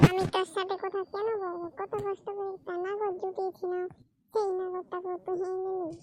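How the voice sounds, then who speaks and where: A woman's voice: very high-pitched, monotone, a little fast, a little mellow, rough recording. A woman voicing a jealous, bossy young elder sister in a cartoon skit, speaking in a dramatic, accusing way. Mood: resentful.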